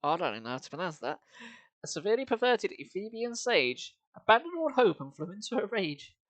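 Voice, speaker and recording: A streamer with a mid-pitched voice reads comic verse in an amused singsong, swinging widely up and down. The sound is close and dry, and the delivery is informal, with chatty asides. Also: very animated, quick pace, dry recording.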